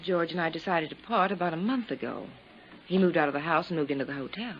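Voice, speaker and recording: A woman's voice: sits low, fast. A woman playing a composed, worldly widow in a 1950s radio drama, cool, controlled and guarded. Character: composed, worldly young-to-middle-aged widow giving testimony. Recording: dull, noisy 1950s radio recording.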